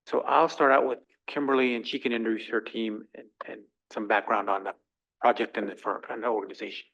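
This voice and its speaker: A man of middle pitch introduces a visiting team at a council meeting, halting and full of ums as he searches for words. The audio is muffled and lo-fi. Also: steady tone, vocal fry, fairly bright tone. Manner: hesitant, many ums and restarts. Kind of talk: formal presentation at a council meeting.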